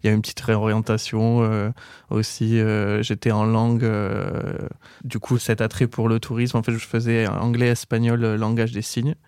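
A man's voice: fairly low pitch, moderate pace, clear, resonant tone, no room sound, studio quality. A man in his twenties from southern France with a southern French accent, a podcast guest chatting casually and laughing often. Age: 20s. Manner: laughs often, relaxed and joking. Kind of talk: casual interview chat.

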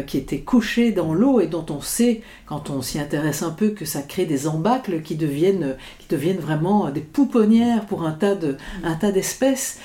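A woman's voice: on the low side, mellow. A woman interviewer musing aloud to her guests, engaged and full of small fillers. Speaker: radio interviewer. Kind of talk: interview conversation.

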